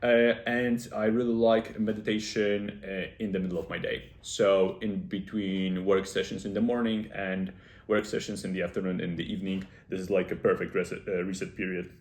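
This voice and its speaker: Earnest man with a low voice, echoey room. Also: flat delivery, darkish tone. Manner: earnest, explaining step by step with frequent "uh" pauses. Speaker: self-improvement video host. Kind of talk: casual advice talk to camera.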